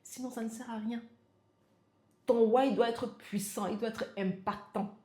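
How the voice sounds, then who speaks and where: A woman's voice: fairly high pitch, fairly even intonation, a little slow, dark tone. Insistent woman in her forties, echoey room. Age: 40s. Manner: insistent, repeating for emphasis, addresses viewer as tu. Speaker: motivational coach. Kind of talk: self-help talk to camera.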